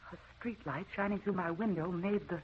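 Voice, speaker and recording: Tragic, haunted wife, muffled radio. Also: female voice, lowish voice, fairly even intonation, brisk, breathy edge. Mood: troubled, haunted. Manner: melodramatic, tender, earnest. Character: tragic, haunted young wife with a mysterious past. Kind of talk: old-time radio drama monologue. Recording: muffled, noisy old radio recording.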